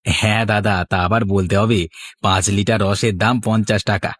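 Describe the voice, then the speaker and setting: A man acts out a crafty market swindler from a cartoon. His voice sits low, with a brash, bossy manner suited to scolding and hawking. The recording is dry and close. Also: level tone, fairly quick, fairly bright tone, no echo. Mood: slick, commanding. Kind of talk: cartoon voice acting.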